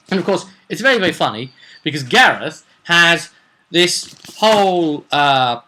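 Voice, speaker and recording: A man informally recapping a tabletop roleplay session as game master, rambling and animated as he tells the story. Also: a little high, takes it slowly, slight background noise, low-quality recording. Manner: rambling, animated, acts out quotes.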